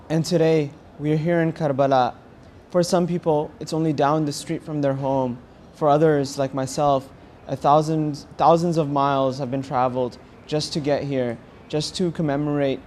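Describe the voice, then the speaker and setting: A young man with an American accent giving a personal testimony in an earnest, halting way with pauses. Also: mid-range voice, mellow, a little hiss or hum. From California, United States. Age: young adult. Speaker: university student interviewed as a pilgrim.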